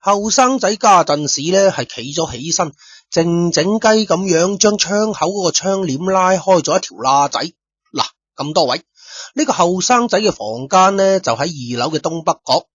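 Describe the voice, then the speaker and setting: A man's voice: high voice, animated, normal speed, a bit bright, husky, no room sound, dull audio. A man with a Cantonese accent narrating a story in colloquial radio-drama style, speaking directly to his listeners. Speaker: storyteller, host.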